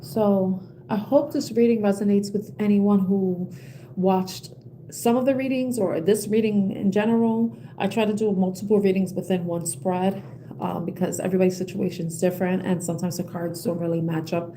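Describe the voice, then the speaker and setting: Soft, mellow-voiced woman tarot reader. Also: mid-range voice, fairly even intonation, moderate pace, slight background noise. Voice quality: soothing. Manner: gentle, rambling, many fillers and restarts. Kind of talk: collective tarot reading.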